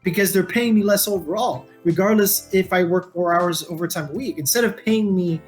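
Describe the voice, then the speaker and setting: A man's voice: high-pitched, a little fast, soft background music. A man co-hosting a podcast in casual chat, informal and mildly profane, often checking in with rhetorical questions. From Florida, United States. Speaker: podcast co-host.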